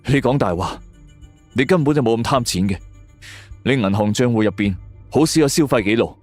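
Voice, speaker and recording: A man's voice: medium pitch, expressive, a little fast, a little mellow, dry recording, faint music behind, lightly acted. A man voicing a cold, scornful police investigator in a suspense audio story. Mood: probing. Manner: cold, taunting.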